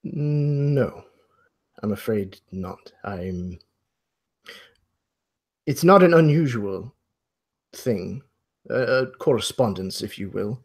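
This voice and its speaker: A man playing an aging, courteous host of a mansion in a tabletop game, speaking in a halting, apologetic and formally polite way. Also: on the low side, lively intonation, darkish tone, breathy edge, exaggerated voice. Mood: evasive, polite. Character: aging, courteous, flustered old mansion host in plaid suit. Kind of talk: improvised roleplay dialogue.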